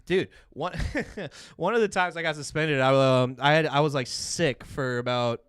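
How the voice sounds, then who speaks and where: A man with a smooth, clear voice, pitched a little high, hosts a casual podcast. He tells comic stories in animated slang and swears freely, with a dry, close sound. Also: normal speed, dry recording. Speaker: podcast host. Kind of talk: casual comic chat and storytelling.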